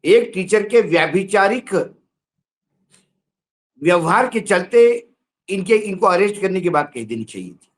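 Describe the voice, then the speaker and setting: An emphatic male commentator with a high-pitched voice speaks on a news show. He sounds indignant and argues his opinions through pointed rhetorical questions. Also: lively intonation, a little slow, long pauses.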